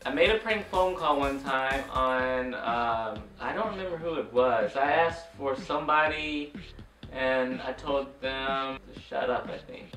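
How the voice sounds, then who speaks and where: A man's voice: medium pitch, measured pace, fairly bright tone, soft background music. A man in his twenties co-hosting with playful, mock-serious banter in a small room. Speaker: co-host of a comedy trivia show. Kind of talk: casual joking banter. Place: small furnished room indoors. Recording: roomy echo, slightly distant mic.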